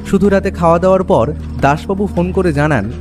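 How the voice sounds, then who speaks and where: A man's voice: sits high, a little fast. A man with a Bengali accent giving dramatic first-person narration of a detective story. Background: music under the narration.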